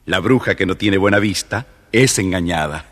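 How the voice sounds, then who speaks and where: A man's voice: low voice, moderate pace, fairly bright tone, long reverb tail. A man narrating a children's story in a dramatic, confiding and theatrical way.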